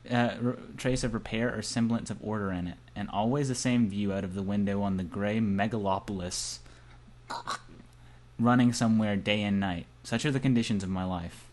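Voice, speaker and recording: Slightly deep-voiced man, casual game read-aloud. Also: fairly even intonation, normal speed, darkish tone. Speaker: amateur let's-player. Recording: faint music behind the voice. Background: game music.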